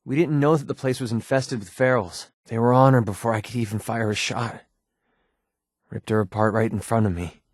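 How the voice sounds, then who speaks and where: An actor playing a guarded, wounded mercenary in a video game, sarcastic and quick-tempered but loyal, speaking in a plain, earnest, edgy way. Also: male voice, mid-range voice, fairly even intonation, dry recording, studio quality, natural voice. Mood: grieving, subdued. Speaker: companion character in a video game. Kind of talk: naturalistic video game voice acting.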